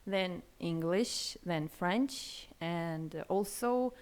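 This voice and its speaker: A woman, a guest in a casual interview, speaking hesitantly with many fillers and pauses. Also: on the low side, a little mellow. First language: Armenian.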